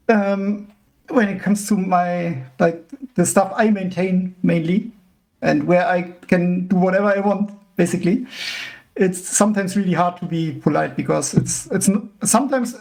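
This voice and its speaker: High, gravelly man. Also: a little mellow. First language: German. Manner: deliberate, searching for words. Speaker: guest, open-source developer. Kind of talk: casual podcast conversation.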